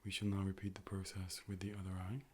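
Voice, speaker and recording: A man playing a calm, polite robot optician in an ASMR role play, speaking softly and at times whispering measured, formal clinical instructions. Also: sits low, slightly rough. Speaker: ASMR performer playing an eye doctor. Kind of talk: soft-spoken ASMR medical role play, partly whispered. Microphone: close binaural microphone.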